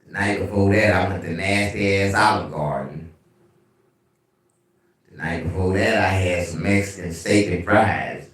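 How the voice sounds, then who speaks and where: A man with a deep bass voice hosting a casual livestream, telling animated, profane stories. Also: measured pace, distant mic. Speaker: livestream host. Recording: very echoey room, away from mic.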